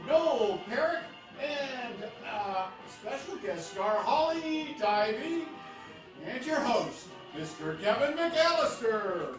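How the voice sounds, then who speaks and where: A man playing an excited radio announcer on stage, presenting an old-time broadcast. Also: extremely high pitch, slow pace, full character voice. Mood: showy. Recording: echoey theatre, far from mic, with music.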